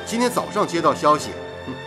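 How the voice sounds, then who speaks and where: An actor playing a jovial, boyish young radio station worker in a radio drama, playfully teasing and fond of exaggeration. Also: male voice, a little high, very animated, quick pace, over music. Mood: cheerful, earnest.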